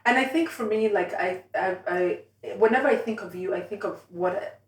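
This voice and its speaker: A woman's voice: mid-range voice, normal speed. A woman with a Swahili-influenced accent, who grew up speaking Swahili, interviewing a guest in casual conversation with lots of encouraging agreement. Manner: chatty, encouraging, many agreeing fillers. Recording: roomy, mic at a distance.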